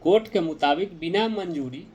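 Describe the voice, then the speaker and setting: A man explaining a legal ruling to viewers in a direct, deliberate, explanatory way. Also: high voice, a little mellow.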